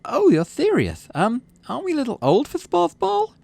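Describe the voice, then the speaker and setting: Man putting on a high, sceptical teenage girl. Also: wildly swooping pitch, moderate pace, creaky, darkish tone, full character voice. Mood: incredulous. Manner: breezy, sceptical, falsetto-like lilt. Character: incredulous, dismissive teenage girl keen on military training. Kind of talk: voiced visual novel dialogue.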